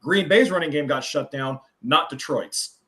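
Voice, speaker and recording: A man on a sports talk panel, explaining game stats in a steady, methodical way. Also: a little high, moderate pace. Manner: steady, stat-heavy explaining. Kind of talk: sports analysis.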